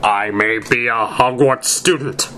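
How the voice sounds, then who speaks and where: A man voicing an angry, lovestruck schoolboy wizard who makes overwrought declarations with dramatic pauses. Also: medium pitch, noisy background, natural voice. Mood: angry defiance.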